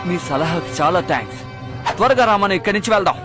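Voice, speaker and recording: A teenage boy hero from a dubbed cartoon. He sounds high-pitched, brave and earnest, speaking dramatically while music blares behind him. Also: lively intonation, quick pace. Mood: urgent, earnest.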